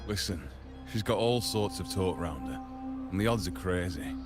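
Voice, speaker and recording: A man's voice: deep voice, expressive, normal speed, over loud music. An actor playing a chatty, knowing male arena spectator in a video game, gossipy and conversational.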